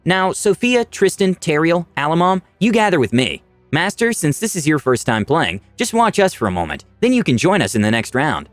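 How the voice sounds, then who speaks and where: Guy playing an ingratiating fantasy adventurer, super polite, pitch jumping up and around, dry recording. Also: big pitch swings, moderate pace, hardly any pauses, dry recording, faint music behind. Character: wounded adventurer politely placating a villain with a game.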